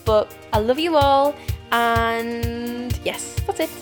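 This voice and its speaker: Chatty British woman podcaster, bright-pitched. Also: takes it slowly, music in the background. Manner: chatty, rambling, self-described stuttering and babbling. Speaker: podcast host. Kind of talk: casual solo podcast chat.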